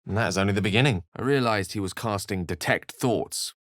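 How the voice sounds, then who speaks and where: A man acting as a refined, scholarly video game wizard in middle age. He phrases things ornately and with wry precision, theatrical yet measured. The recording is close and dry. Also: fairly low pitch, fairly bright tone, no room sound, natural voice. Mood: wry, curious. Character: eloquent, refined adult male wizard companion, wry and scholarly.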